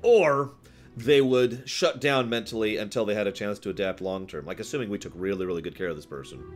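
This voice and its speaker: A man hosting a livestream, answering viewer questions in casual, off-the-cuff commentary with an animated, playful way of talking. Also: lowish voice, brisk, faint music behind. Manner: animated, chuckles through his points, acts out examples.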